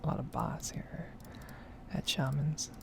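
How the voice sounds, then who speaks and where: A woman softly whispering a casual, rambling ASMR commentary over a game. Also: deep voice, steady tone, measured pace, creaky, noisy background. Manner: soft-spoken, rambling, casual asides. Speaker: gaming video creator.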